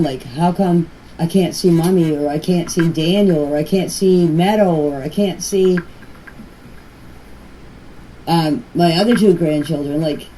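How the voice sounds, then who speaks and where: A woman's voice: sits low, warm tone. Older woman, noisy voice message. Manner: rambling, plain-spoken, repeats herself. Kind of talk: casual personal voice messages to a group chat. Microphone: phone voice messages.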